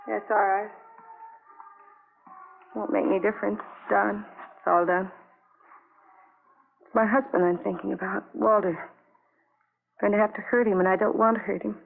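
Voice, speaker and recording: An actress playing an anguished, lonely young wife in a 1950s radio drama, speaking in halting, repetitive fragments on a vintage broadcast recording. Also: female voice, mid-range voice, fairly even intonation, creaky, narrow, tinny audio, a little hiss or hum, lightly acted. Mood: resigned, grieving.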